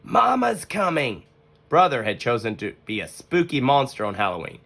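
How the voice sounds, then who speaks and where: A storybook reader giving expressive scripted narration for children. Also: medium pitch, fairly quick.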